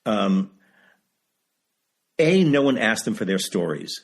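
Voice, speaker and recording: A man of seventy speaks as a guest in a candid interview. His voice sits fairly low and has a husky grain. He is emphatic, often repeating a phrase to stress it. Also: big pitch swings, takes it slowly. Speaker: guest, author and writing teacher. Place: video call.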